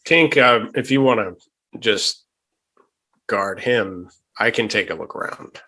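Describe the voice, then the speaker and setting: A man's voice: on the low side, slow, sharp tone, low-quality recording. A man playing a tabletop roleplaying game, talking casually and briefly.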